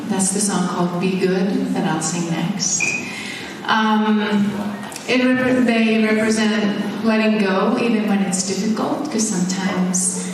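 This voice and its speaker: Slow-spoken Finnish woman in an echoey hall. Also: mid-range voice, fairly even intonation, background noise. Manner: unhurried, hesitant with frequent ums and restarts. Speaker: singer and faculty performer. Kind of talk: introducing songs from a concert stage. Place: concert hall stage. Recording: echoey concert hall from far off, with music.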